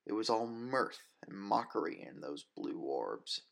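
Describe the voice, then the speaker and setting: Casting: male audiobook narrator with a lowish voice for dramatic first-person fiction. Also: big pitch swings, measured pace, creaky.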